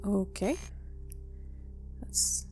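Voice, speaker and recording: A woman's voice: very slow, dark tone, soft background music. A woman giving casual commentary as she plays a game, reacting aloud and talking back. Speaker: game player.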